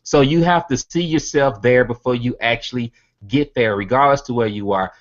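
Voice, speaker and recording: A man's voice: mid-range voice, moderate pace, dull audio. A man from Birmingham, Alabama, with a Southern accent, giving an online motivational business presentation, stacking rhetorical questions and repeating his key points. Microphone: computer mic on a video hangout.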